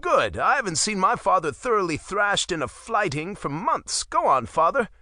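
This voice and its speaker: A man's voice: sits high, big pitch swings, normal speed, pushed and bright, no room sound, clean studio sound, pitched above the performer's own voice, full character voice. A man voicing a teasing young man who mocks his father, in an audiobook. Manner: teasing, mocking.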